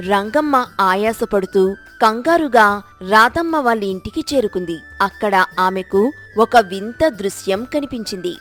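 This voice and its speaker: A woman narrator reading a scripted moral tale in storytelling style. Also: mid-range voice.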